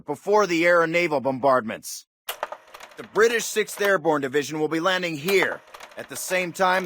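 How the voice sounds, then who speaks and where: Confident US army officer, American accent, game mission briefing, orderly and clipped, background noise. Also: male voice, a little high, a bit bright. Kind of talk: military mission briefing, scripted game acting.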